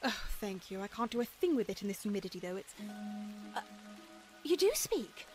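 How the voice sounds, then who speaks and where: A woman's voice: medium pitch, sing-song, brisk, music in the background, natural voice. A young woman with a British accent voicing a flustered, prim Victorian lady in a cartoon fan dub, breathless and stammering. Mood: nervous, chattering. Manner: breathless, stammering, chattering, proper. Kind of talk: animated film fan dub acting.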